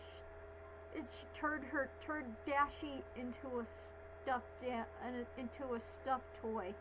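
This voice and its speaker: A woman with a high voice comments as she listens, in short, halting bits that often repeat. The recording is noisy, with a clear loud hum behind her. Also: fairly even intonation. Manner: halting, repeats and echoes words. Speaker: reaction video host. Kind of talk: live reaction commentary in short fragments. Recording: noisy, humming home recording. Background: loud hum and played audio.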